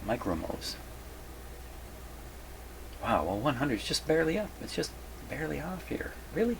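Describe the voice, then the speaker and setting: A man's voice: on the high side, big pitch swings, normal speed, vocal fry, slight background noise, poor audio. An older man giving a casual workshop demonstration, thinking aloud in a rambling, self-deprecating, folksy way. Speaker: vintage radio repair hobbyist presenting a video. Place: indoor radio repair workshop.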